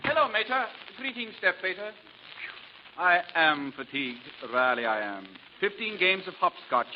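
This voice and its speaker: A man voicing a languid affected young son, foppish, off-mic, loud hiss, dull vintage radio. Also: high-pitched, big pitch swings, normal speed, voice pushed down. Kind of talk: 1940s radio comedy acting.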